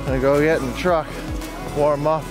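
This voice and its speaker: A man's voice: on the high side, fairly even intonation, moderate pace. A young American man chatting casually to a camera outdoors as he vlogs. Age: young adult. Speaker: vlogger. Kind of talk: casual vlog chat. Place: outdoors on snowy forest trail. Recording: music over the voice. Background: music.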